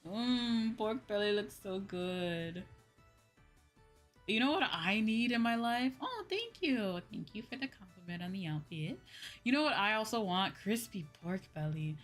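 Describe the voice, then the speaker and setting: Slow-paced woman chatting on a livestream. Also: mid-range voice, expressive, soft background music. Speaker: livestream host. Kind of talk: casual livestream chat with viewers.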